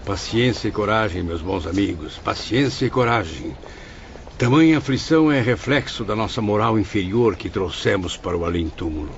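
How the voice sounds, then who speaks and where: A steady, wise pupil in an audiobook drama. The voice is fairly low and moves expressively through formal, earnest phrases, slightly dull in sound. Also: male voice, normal speed, a little hiss or hum. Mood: resigned, consoling. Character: wise, steady male student spirit consoling his friends. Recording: dull lo-fi, faint background ambience.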